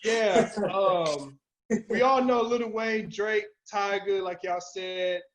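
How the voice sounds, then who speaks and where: A man's voice: sits high, slow pace, a loud crowd. A man co-hosting a podcast, talking casually about albums in slangy language with plenty of swearing. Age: older than the co-hosts. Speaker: podcast co-host. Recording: dull lo-fi audio.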